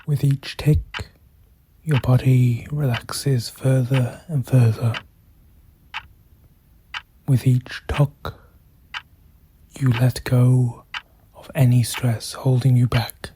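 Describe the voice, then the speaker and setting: A meditation guide speaking softly and soothingly, in measured phrases with long pauses and a breath that shows at times. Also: mid-range voice, flat delivery, slight background noise. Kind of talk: slow guided meditation narration.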